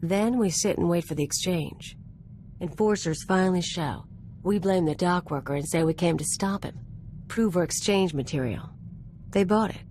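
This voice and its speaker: Actress as a brash, low-voiced outlaw woman. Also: normal speed, a little mellow, slight background noise, close to an everyday voice. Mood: breezy. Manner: casual, clipped, cocky. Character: brash, streetwise female outlaw gunslinger companion. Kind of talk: conversational video game acting.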